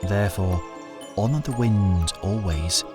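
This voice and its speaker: A wise older village mother, voiced by a male storyteller speaks in a very low, grave tone. The words come slowly and reverently, as a solemn warning from a storybook, with music underneath. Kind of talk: storybook narration.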